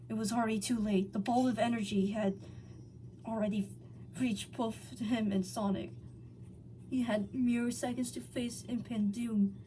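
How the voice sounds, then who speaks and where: A woman's voice: a little high, mellow, slight background noise, poor audio. A woman hosting a dramatic fan fiction reading, theatrical but casual, sometimes stumbling over words. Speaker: story reader, channel host.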